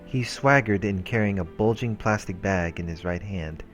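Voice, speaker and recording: A man with a very low voice reads a visual novel aloud for a let's play, slipping between narration and offhand comments. Faint music plays behind him. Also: moderate pace. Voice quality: low-pitched. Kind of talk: read-aloud narration with casual asides. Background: game music.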